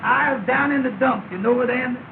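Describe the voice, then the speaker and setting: A man playing an anxious, earnest, dim-witted cab driver in a 1930s comedy film, stammering in folksy, ungrammatical speech. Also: fairly quick. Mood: worried. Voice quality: high-pitched, creaky. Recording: noisy, tinny 1930s film soundtrack.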